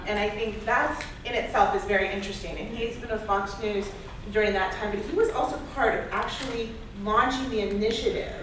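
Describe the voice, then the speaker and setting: A woman moderates a conference panel in a chatty way, laughing easily and cutting in with follow-up questions. Her voice is a little higher than most. She is picked up from a distance in a strongly echoing hall. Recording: echoey hall recording from far off, faint noise.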